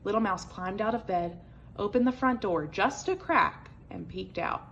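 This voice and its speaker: A woman reading a children's picture book aloud as an expressive storyteller. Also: medium pitch, moderate pace, slight background noise.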